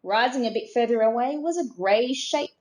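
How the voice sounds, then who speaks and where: A woman narrating a children's storybook expressively, in a measured way with dramatic emphasis. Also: a little high, a little mellow. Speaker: storybook reader.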